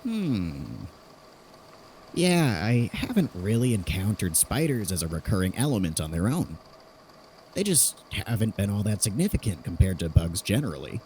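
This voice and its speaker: A man's voice: on the low side, very animated, moderate pace, darkish tone, a little hiss or hum. A man playing a curious, analytical theorist in an audio drama, conversational and inquisitive.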